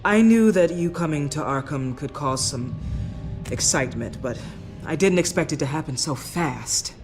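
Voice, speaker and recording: A woman's voice: deep voice, animated, darkish tone. Composed, firm lady doctor. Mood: reassuring, professional. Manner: measured, authoritative. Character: composed, firm female head psychiatrist at an asylum. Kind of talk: video game voice acting. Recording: music behind the voice.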